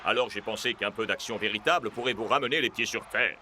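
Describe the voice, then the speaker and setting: A man voicing a stern, wry cartoon football coach in a measured, dry, lecturing manner. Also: lowish voice, bright, forceful tone, slight background noise. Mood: dry, satisfied.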